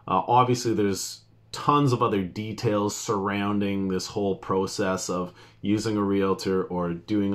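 Male realtor, 40s, fairly low voice, conversational and emphatic explainer delivery. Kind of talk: informal explainer talk to camera. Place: small indoor office.

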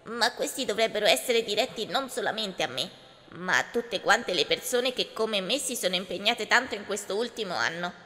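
A woman's voice: mid-range voice, animated, normal speed, sharp tone. A woman playing an earnest young activist giving a rousing speech in an anime fan drama, with hall reverb.

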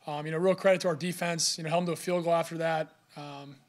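A young man, a college quarterback, answering reporters' questions at a postgame press conference, filling his answers with "you know.". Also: a little high. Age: young adult. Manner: steady, fast, filler-heavy with "you know". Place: press room in front of a sponsor backdrop. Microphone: podium mic.

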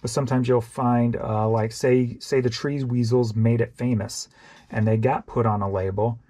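A man's voice: medium pitch, steady tone, normal speed, warm tone. A man, chatting casually and thinking aloud as he sorts through items for resale. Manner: rambling and offhand, thinks aloud while sorting tapes. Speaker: online reseller. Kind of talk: casual show-and-tell vlog.

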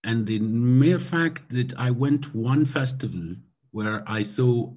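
A man's voice: mid-range voice, animated, slow pace, a little mellow, slightly rough. A man from Syria in his forties, giving a thoughtful keynote over a video call from a room at home, with many hesitations. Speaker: keynote speaker. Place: room at home by a glass door. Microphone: laptop webcam on video call.

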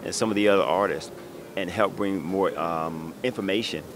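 A man's voice: low-pitched, moderate pace. A middle-aged man asking questions in a casual on-location interview with a handheld microphone. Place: indoor art expo in a convention center. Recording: noisy exhibition hall background. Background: busy hall noise.

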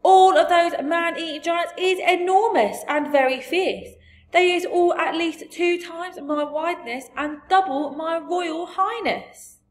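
A woman voicing a big, friendly male giant from a storybook, gentle and boastful, with muddled grammar and emphatic delivery. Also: very high-pitched, a little slow, pitched above the performer's own voice. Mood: emphatic, warning. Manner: garbled grammar, invented words, emphatic. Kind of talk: storybook character voice.

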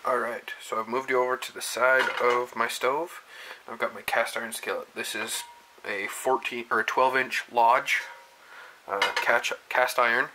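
A man's voice: mid-range voice, flat delivery, a little slow, bright, forceful tone, a little hiss or hum. Young man, laid-back home cook host, folksy step-by-step talk, camera mic in a home kitchen with a pan sizzling behind. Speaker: home cooking show host. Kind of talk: casual cooking demonstration. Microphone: camera mic, speaker off frame, moving around. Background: frying and sizzling pan.

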